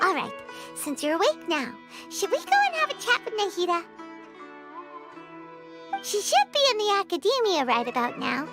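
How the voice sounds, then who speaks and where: A squeaky little fairy girl. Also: big pitch swings, moderate pace. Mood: teasing. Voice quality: high and squeaky. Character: small chirpy floating girl companion, greedy and teasing. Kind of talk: video game dialogue. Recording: faint game music behind.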